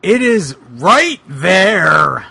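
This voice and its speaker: A man voicing an earnest, huge, soft-hearted monster wrestler with a gravelly rasp and overwrought delivery in a mock-dramatic reading. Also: sits high, sing-song, no echo, exaggerated voice. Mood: determined. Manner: slow, overwrought, declamatory.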